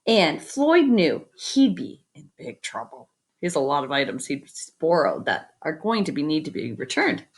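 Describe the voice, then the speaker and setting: A woman reading a children's picture book aloud expressively, with chatty asides to her young listeners. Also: low voice. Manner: expressive, pauses to comment and invite predictions. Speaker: read-aloud storyteller.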